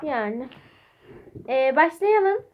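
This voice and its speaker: High-voiced woman hosting a casual game. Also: sing-song, very slow, narrow, tinny audio. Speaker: vlog host quizzing a child. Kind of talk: casual game chat addressed to viewers.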